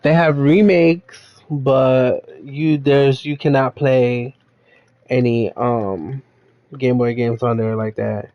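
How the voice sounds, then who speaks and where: A man chatting casually at home, explaining things in loose, halting phrases. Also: medium pitch, fairly even intonation, dry recording. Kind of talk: casual chat. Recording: dull lo-fi home recording.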